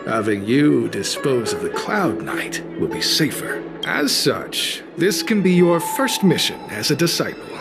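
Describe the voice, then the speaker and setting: Slow, sinister cult recruiter. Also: male voice, medium pitch, sing-song, creaky, over music. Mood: formal, satisfied. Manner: formal, measured, politely menacing. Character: calm, coolly sinister male cult recruiter. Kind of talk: scripted video game cutscene acting.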